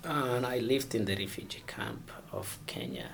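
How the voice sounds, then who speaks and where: A man from Somalia, answering interview questions haltingly and searching for words. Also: mid-range voice, big pitch swings, vocal fry, fairly bright tone, a little hiss or hum. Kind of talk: oral history interview.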